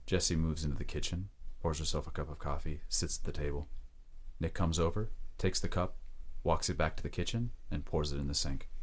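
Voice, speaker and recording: A man's voice: rumbling low, fairly quick. A man narrating an audio drama from a script, in present-tense storytelling style.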